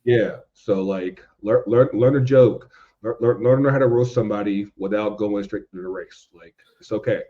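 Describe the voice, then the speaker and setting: A man's voice: fairly low pitch, normal speed. An American man chatting casually as a podcast guest, informal and slangy, often repeating phrases for emphasis, on a dull lo-fi recording.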